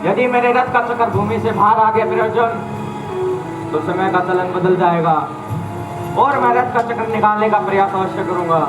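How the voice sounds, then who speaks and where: Guy acting as a doomed proud warrior, really high voice, formal stage drama, loud music all over it. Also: normal speed, natural voice. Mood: proud, resolute. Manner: declamatory, formal. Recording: roomy, distant stage recording under loud music.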